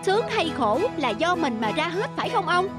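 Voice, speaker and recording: Cartoon farmhand, young lad voiced by a woman, discontented and sly, very high pitch, music underneath. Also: sing-song, moderate pace. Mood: sly, mock-polite. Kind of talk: cartoon folk-tale voice acting. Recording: music behind the voice.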